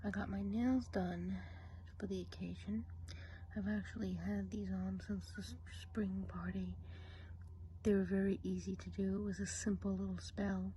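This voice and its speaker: Woman as a gossipy forest witch, mostly whispered, mellow tone, faint hiss behind. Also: mid-range voice, little pitch movement, normal speed, natural voice, rough recording. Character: gossipy woodland witch woman, chatty and nosy. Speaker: ASMR role-play performer. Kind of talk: ASMR role play.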